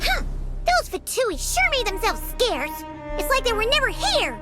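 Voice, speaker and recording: A woman's voice: extremely high pitch, wildly swooping pitch, unhurried, loud music behind the voice, full character voice. A woman voicing a small, chirpy, childlike fairy companion in a video game, full of exclamations. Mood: puzzled, casual. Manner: chirpy, exclaiming, talks about self in third person. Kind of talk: animated video game voice acting.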